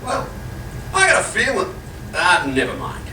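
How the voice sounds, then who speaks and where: An actor playing an excitable, suspicious, down-on-his-luck real estate agent and husband in a live radio comedy, with theatrical delivery. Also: mid-range voice, a little slow, full character voice. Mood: doubtful. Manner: theatrical, exclamatory. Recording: echoey hall, distant mic, faint noise.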